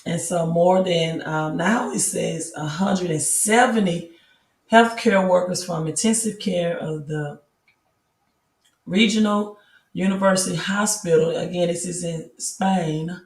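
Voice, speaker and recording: Slow raspy woman streaming late at night. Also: on the low side, roomy sound, recorded from a distance. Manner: slow, chatty, greets viewers by name. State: hoarse from yelling while coaching. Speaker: crypto and news live streamer. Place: at home at a laptop.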